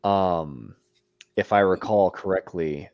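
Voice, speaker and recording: A man's voice: sits low, slow, dry recording, dull audio. A man co-hosting a casual book discussion, passionate and given to playful mock outrage. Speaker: co-host.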